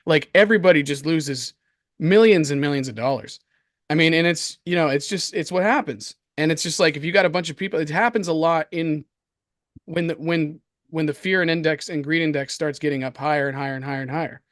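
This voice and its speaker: A man with a hoarse voice co-hosts a casual market commentary show, talking in an excitable, slangy, repetitive way. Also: on the high side, a little fast, no room sound, studio quality. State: hoarse from coughing. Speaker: crypto show co-host.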